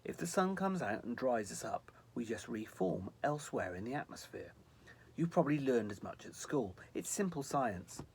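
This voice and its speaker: A man's voice: mid-range voice, very animated, normal speed, gravelly, darkish tone, a little hiss or hum. A man voicing a young male snow angel, fretful, earnest and a little pompous.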